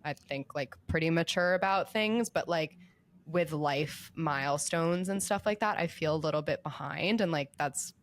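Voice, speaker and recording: A woman's voice: low voice, normal speed. A woman in her late twenties, a podcast guest in casual chat, talking with lots of "like" fillers. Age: late 20s. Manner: chatty, heavy use of filler "like".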